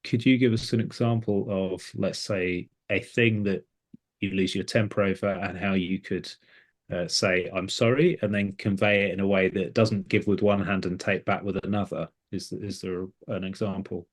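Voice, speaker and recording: A man's voice: deep voice, level tone, moderate pace. A middle-aged man co-hosting a casual, reflective chat, speaking tentatively and often rephrasing himself. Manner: tentative, self-correcting. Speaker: podcast co-host.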